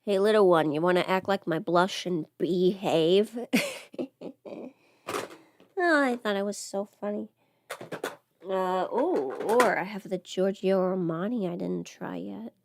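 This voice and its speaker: A woman's voice: mid-range voice, measured pace, gravelly, long pauses, darkish tone, no room sound, clean studio sound. A woman thinking aloud in a casual, rambling livestream chat as she gets ready, swearing lightly. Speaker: livestream host.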